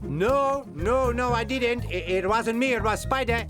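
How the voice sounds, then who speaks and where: A woman voicing a shifty, panicky, stammering jackal thief in a children's animated cartoon. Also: on the high side, a little slow, natural voice. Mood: panicked, evasive. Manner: stammering, repeating protests. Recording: music underneath.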